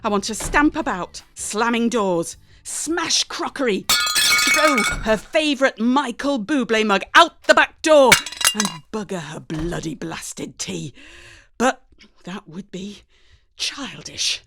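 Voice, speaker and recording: A woman with a British accent reading a first-person story dramatically, with an expressive, wry, exasperated manner. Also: on the high side, slow pace, over loud music. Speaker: story reader.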